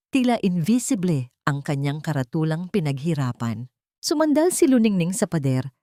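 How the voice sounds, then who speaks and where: Low-voiced Filipino woman narrating a dramatic story. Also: dark tone, no room sound, clean studio sound.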